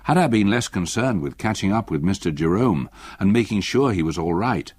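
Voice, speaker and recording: An older actor playing an elderly retired solicitor, gravely narrating a haunting memory in a husky voice for radio drama. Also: male voice, low voice, expressive, normal speed, a little mellow, no echo. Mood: hushed, uneasy.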